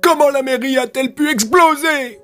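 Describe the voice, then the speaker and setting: A man's voice: extremely high pitch, moderate pace, bright, forceful tone, soft background music, pitched above the performer's own voice. A man acting as a distraught, angry man at breaking point in an audio drama. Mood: furious, distraught.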